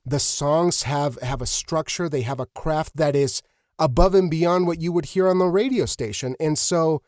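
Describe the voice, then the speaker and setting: A man hosting a conversational interview, speaking earnestly and haltingly, often repeating words as he thinks. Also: fairly high pitch, a little mellow, dry recording, studio quality. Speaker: podcast host.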